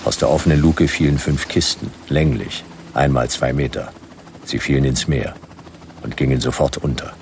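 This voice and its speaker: Gravelly, very deep laconic detective. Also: male voice, fairly even intonation, normal speed, darkish tone, slight background noise. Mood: dry, suspenseful. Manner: dry, clipped, terse narration. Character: laconic hardboiled male private detective, aged 96, narrating. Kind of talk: radio play noir narration.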